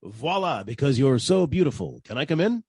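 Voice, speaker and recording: An actor playing a wisecracking, unflappable private detective in an old-time radio drama, with a husky voice and a wry, brisk manner. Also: male voice, mid-range voice, big pitch swings, darkish tone, dry recording, studio quality, heavily acted.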